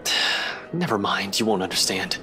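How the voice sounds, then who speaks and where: A man's voice: lowish voice, lively intonation, normal speed, sharp tone. An actor playing a brooding, exasperated male police detective in a visual novel game, terse and sardonic. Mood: bitter. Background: game music.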